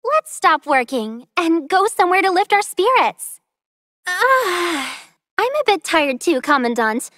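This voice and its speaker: Bubbly young artist heroine, video game character, very high voice, unhurried, coy and teasing. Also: female voice, very animated, fairly bright tone, dry recording, studio quality, full character voice. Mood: playful.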